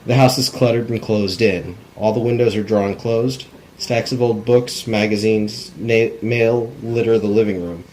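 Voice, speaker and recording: A man's voice: fairly low pitch, fairly even intonation, unhurried, darkish tone, low-quality recording. A man reading out screenplay stage directions as narration at an informal table read. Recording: amateur camera recording with background noise.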